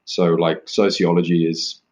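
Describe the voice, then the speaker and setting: A man's voice: low-pitched, little pitch movement, a little slow. A man from England, a podcast guest who explains his views thoughtfully and at length. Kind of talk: explanatory interview talk.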